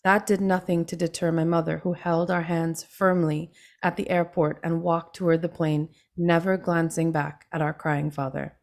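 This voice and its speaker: A young woman from Trinidad, an author reading her own memoir aloud in a measured, earnest way and then answering questions on a video call. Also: low voice, level tone, creaky.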